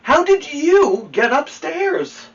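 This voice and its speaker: A man's voice: very high-pitched, very animated, takes it slowly, pitched above the performer's own voice, heavily acted. A man voicing a startled, suspicious night watchman from a storybook, exclaiming emphatically, in a distant recording. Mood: surprised. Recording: distant mic, dull lo-fi sound.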